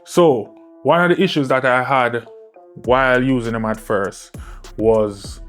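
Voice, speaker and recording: A man reviews gear in a casual, conversational way at an ordinary middle pitch. He often tags his points with a quick check-in question. Clear music plays behind his voice. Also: a bit bright. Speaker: product reviewer. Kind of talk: casual product review to camera.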